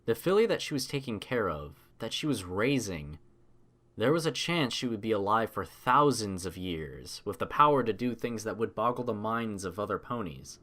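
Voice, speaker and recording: A man narrating a scripted story with expressive, dramatic, measured delivery. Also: on the low side.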